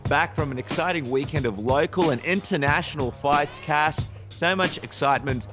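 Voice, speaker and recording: A man's voice: a little high, moderate pace. Chatty man hosting over music. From Ukraine. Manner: chatty, laughs often, promotes channels. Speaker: podcast co-host. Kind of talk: casual chat.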